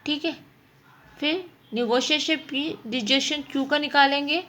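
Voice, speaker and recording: A woman's voice: high voice, moderate pace, pushed and bright. A woman teaching a mathematics lesson, explaining step by step and often answering her own questions. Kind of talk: informal spoken lesson, working through problems.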